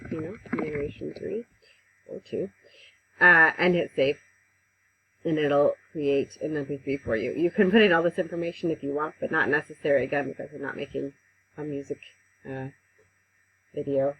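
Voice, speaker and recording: A woman giving a casual, conversational software tutorial over a headset mic, with frequent ums. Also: low voice, highs cut off, a little hiss or hum. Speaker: instructor. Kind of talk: screen-recorded software tutorial.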